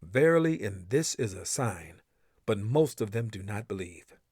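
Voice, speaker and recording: A man's voice: on the low side, sing-song, unhurried, a little mellow, breathy edge, no room sound. Solemn man reciting scripture, close and dry. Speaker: reader of a scripture translation.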